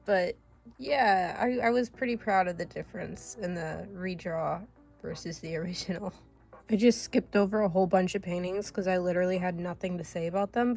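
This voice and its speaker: A woman's voice: lowish voice, a little mellow. A young woman artist chatting casually and rambling, laughing often, getting over a cold. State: recovering from a cold. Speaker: artist vlogger. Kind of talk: casual chat.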